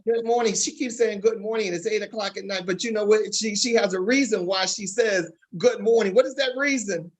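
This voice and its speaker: A man's voice: high voice, fairly quick, few pauses. A man hosting a casual panel interview, often chiming in with affirming words. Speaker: host interviewing stage play cast.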